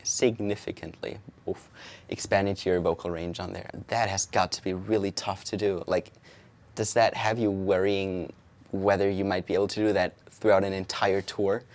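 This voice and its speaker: Low-voiced male interviewer. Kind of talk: casual interview questions.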